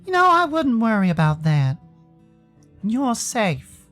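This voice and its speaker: A man's voice: high voice, wildly swooping pitch, slow pace, a bit bright, pitched above the performer's own voice, full character voice. A man voicing a confident, protective male furry companion in a visual novel, reassuring and teasing. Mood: reassuring, curious. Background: faint music.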